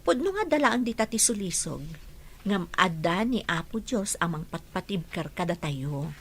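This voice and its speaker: A woman's voice: on the low side, expressive, normal speed, breathy edge. A woman playing a devout, cheerful young woman in a radio drama, speaking earnestly and sincerely with an Ilocano accent.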